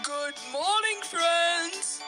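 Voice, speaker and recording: A woman voicing jolly puppet family members who greet children with drawn-out exclamations. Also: very high-pitched, exaggerated voice. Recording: loud music behind the voice.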